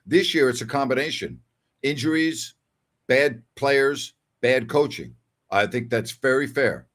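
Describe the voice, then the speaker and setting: A man's voice: mid-range voice, measured pace, a bit bright. An older man hosting a casual sports call-in show, talking in a conversational, emphatic way and repeating phrases for emphasis. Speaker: sports talk show host. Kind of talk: casual call-in show chat reading listener messages.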